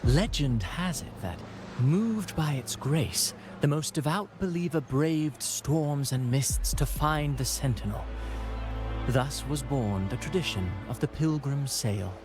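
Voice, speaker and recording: Suave, courtly theatrical playwright. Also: male voice, medium pitch, lively intonation, takes it slowly. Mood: storytelling. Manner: polite, florid, storytelling. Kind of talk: video game voice acting. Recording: music behind the voice.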